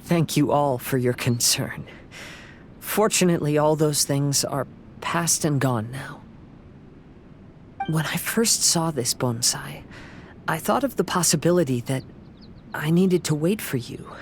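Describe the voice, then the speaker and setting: Slow, serene young samurai wanderer. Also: male voice, fairly high pitch, slight background noise. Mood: reflective. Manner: gentle, measured, poetic.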